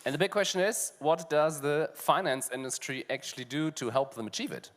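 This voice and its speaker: A man's voice: on the high side, fairly quick. A man with a German accent, co-hosting a conversation on a conference stage, talking loosely with lots of "you know" fillers. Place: large conference hall stage. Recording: long reverb of a large hall.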